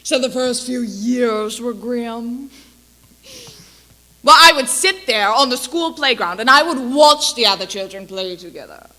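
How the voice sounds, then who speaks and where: Girl doing a brash old-hollywood agent lady monologue, higher pitched, slow and stagey with big pauses. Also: animated, sharp tone. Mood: wistful. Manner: dramatic, deliberate delivery with long pauses. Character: brash, theatrical female Hollywood talent agent reminiscing. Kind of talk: theatrical dramatic monologue for speech competition.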